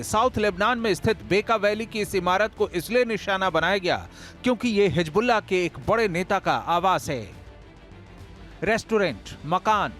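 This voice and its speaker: A man's voice: high-pitched, fairly bright tone. A man reading a scripted news report voice-over in an emphatic, dramatic way.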